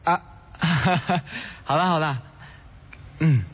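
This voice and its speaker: A man's voice: on the high side, drawn-out words, breathy edge, heavily acted. A man playing a vain, showy young student who drops English into his lines in a radio drama. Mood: theatrical. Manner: showy, mixes English into Mandarin. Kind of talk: idol radio drama acting. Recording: dull lo-fi audio.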